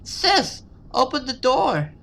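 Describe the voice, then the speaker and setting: A man's voice: very high-pitched, wildly swooping pitch, takes it slowly, gravelly, pushed and bright, voice pushed up, heavily acted. A man voicing a menacing female demon from a horror game, commanding and threatening. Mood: pleading lure.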